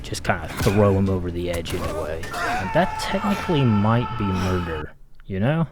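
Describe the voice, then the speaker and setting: A man's voice: deep voice, lively intonation, normal speed. A man giving a casual, blunt and sardonic video essay critique as a game reviewer, with some swearing.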